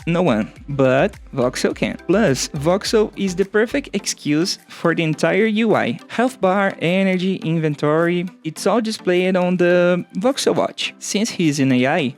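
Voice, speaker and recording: A young man from Brazil, Portuguese native, with a Brazilian accent, narrating his game devlog in a playful, self-mocking way. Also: high-pitched. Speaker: solo game developer. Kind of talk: scripted devlog voice-over.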